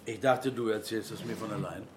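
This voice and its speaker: An actor playing a weary, caring and worried bar owner in a naturalistic radio drama. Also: male voice, on the low side, a little fast, echoey room, a little hiss or hum, close to an everyday voice. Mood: concerned, dry.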